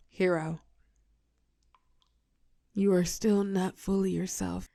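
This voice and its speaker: A woman playing a telepathic villain and former hero in a roleplay audio drama, protective and sharp-tongued, with a breathy voice that often drops to a whisper. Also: lowish voice, no room sound. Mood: firm, guarded. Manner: slow, commanding yet tender coaching.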